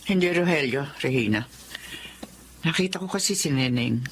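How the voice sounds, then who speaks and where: A woman playing a kindly, soft-hearted elderly mother-in-law, gentle and hesitant, in a Filipino radio drama. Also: rumbling low, expressive, normal speed, slight background noise. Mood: sorrowful, pitying.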